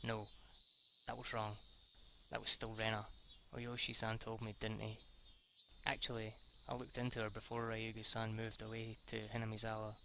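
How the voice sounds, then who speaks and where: A man reading a visual novel aloud as an amateur, haltingly, stumbling and correcting himself with the odd swear word as an aside. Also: fairly low pitch, monotone, gravelly, background noise. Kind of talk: amateur read-through of a story.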